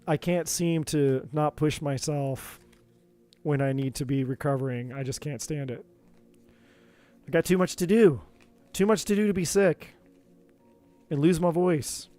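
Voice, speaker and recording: A man doing a live tarot reading, talking in an earnest, encouraging way with many repeats, his voice going a bit hoarse. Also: on the high side, a little mellow, dry recording. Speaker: tarot reader.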